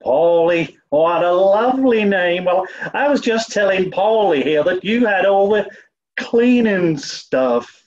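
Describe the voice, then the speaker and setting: A man's voice: high voice, animated, slow pace, darkish tone, dull audio. A man voicing a toff who poses as a bumbling, over-polite cleaning woman with a put-on Southern US accent. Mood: bluffing sweetly. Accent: put-on American South. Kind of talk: 1940s radio comedy acting.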